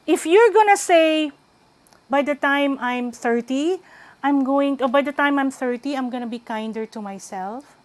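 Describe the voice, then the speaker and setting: Slow-spoken Filipina coach, higher voice. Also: female voice. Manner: unhurried, mixes English with Tagalog, thoughtful pauses. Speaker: wellbeing coach guest. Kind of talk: radio interview, reflective advice.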